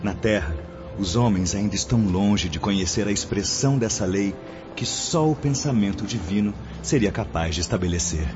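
An actor playing a charismatic, solemn spiritual teacher in a radio drama, preaching formally and eloquently with a Brazilian accent. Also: male voice, lowish voice, expressive, normal speed, music in the background, close to an everyday voice. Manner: preachy, formal, oratorical delivery. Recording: dull lo-fi audio with background noise.

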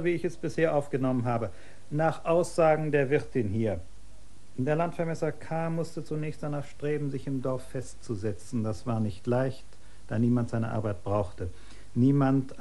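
A man's voice: medium pitch, dark tone, close to an everyday voice. An actor playing an officious, pedantic male village secretary in a radio drama, with a formal, bureaucratic way of speaking.

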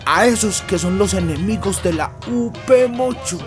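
A tipsy Colombian drinking buddy in a radio drama. He is insistent and gossipy, pestering in loose, colloquial talk. Music runs clearly underneath. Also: sits high, expressive, moderate pace. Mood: agitated. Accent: Colombian, with voseo.

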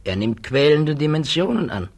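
A male actor in a 1960s German radio drama playing a troubled, thoughtful physicist who testifies in a hesitant, measured way. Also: on the high side, very animated. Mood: pensive, troubled.

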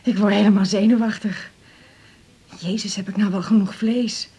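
A woman's voice: medium pitch, steady tone, normal speed, dark tone. An actress with a Netherlands Dutch accent playing an anxious, affectionate middle-aged mother in a radio drama, fretful and hesitant. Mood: anxious, confiding. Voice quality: husky, breathy.

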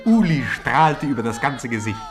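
Lively male narrator of an old radio play. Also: mid-range voice, normal speed. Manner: lively storytelling, rising with the fight. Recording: old radio play with crowd noise behind.

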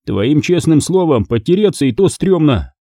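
A man's voice: fairly high pitch, big pitch swings, moderate pace, mellow, no echo, clean studio sound, voice pushed up. A man playing a cold-blooded, mocking thug in an audiobook, taunting and domineering as he interrogates. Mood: mocking menace.

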